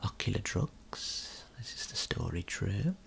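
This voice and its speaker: A man giving a guided ASMR tour in a soft, breathy whisper, gently explaining without hurry. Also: lowish voice, sing-song, unhurried, a little hiss or hum.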